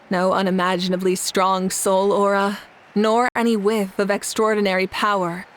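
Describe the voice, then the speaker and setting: A woman as a slow, composed warrior leader. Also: medium pitch, little pitch movement, slight background noise, natural voice. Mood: thoughtful, calm. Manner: measured, solemn, formal. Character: composed, commanding, perceptive female warrior leader. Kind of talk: animated video game voice acting.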